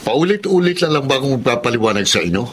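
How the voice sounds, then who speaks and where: An actor playing a kind-hearted middle-aged father who talks in a calm, steady, firm way. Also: mid-range voice, fast, a little hiss or hum. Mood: exasperated. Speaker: radio drama actor. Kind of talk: radio drama acting.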